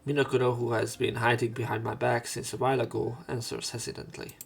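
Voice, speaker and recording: A man with a medium-pitched voice reads a visual novel aloud as a let's play narrator. He moves between narration and dialogue, occasionally tripping over a word. Game music plays behind him. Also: level tone, quick pace, vocal fry. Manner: stumbles over and repeats words while reading.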